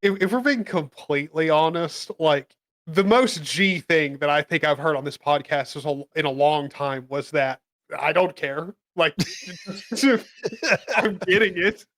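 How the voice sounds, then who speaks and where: A man's voice: fairly high pitch, unhurried, fairly bright tone. Male podcast co-host, wry and dry. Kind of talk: casual podcast chat.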